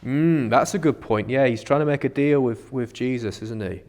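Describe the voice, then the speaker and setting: A man's voice: mid-range voice, moderate pace, a little mellow. A man co-hosting a podcast, musing aloud in a casual discussion about religion, recorded with long reverb. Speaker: podcast co-host.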